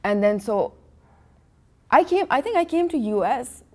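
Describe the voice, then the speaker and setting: A woman's voice: a little high, lively intonation, measured pace. Rambling woman artist, native Farsi speaker. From Iran and Pakistan. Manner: rambling, full of restarts and "you know". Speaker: artist, interview guest. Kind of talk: casual conversational storytelling. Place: art gallery.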